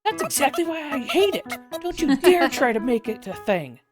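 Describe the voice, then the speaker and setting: A woman's voice: sits high, sing-song, moderate pace, voice pushed up. A woman voicing a snappish, easily irritated young ghost girl while reading a visual novel aloud. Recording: music playing behind the voice.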